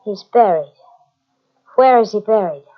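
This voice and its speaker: A woman's voice: medium pitch, lively intonation, measured pace. A woman playing a wary, frightened gangster's wife in an old radio drama, cool and then pleading. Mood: cold. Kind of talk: 1940s radio acting. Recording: muffled old radio tape.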